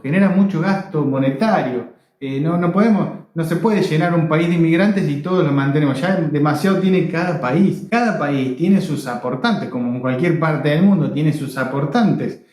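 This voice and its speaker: Echoey, higher-pitched Argentine man giving opinions. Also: a little mellow. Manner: emphatic, repeats phrases for stress. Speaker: video blogger. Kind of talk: opinionated direct-to-viewer commentary. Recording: echoey, reverberant room.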